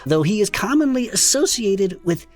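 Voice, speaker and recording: A woman's voice: medium pitch, lively intonation, moderate pace, a little mellow, faint music behind, lightly acted. A woman playing a wry, skeptical, folksy cryptid investigator who questions everything, in conversational audio fiction. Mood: puzzled reporting.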